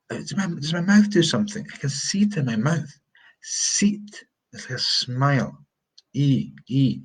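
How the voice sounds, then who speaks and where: A Scottish man in his thirties giving a live-streamed pronunciation lesson, chatty and rambling, repeating words and sounding them out slowly. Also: medium pitch, fairly bright tone, husky, poor audio. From Scotland. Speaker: English teacher. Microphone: phone or webcam on a live stream.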